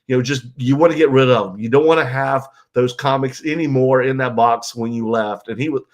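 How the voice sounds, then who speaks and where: A man's voice: mid-range voice, a little fast, muffled recording. Brief: male host, nasal and stuffed up from a cold, loose rambling chatter, home bedroom stream. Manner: rambling, loose, full of ums and you-knows. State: stuffed-up nose and congested from illness. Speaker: livestream host. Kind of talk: casual live-stream chat reading viewer comments.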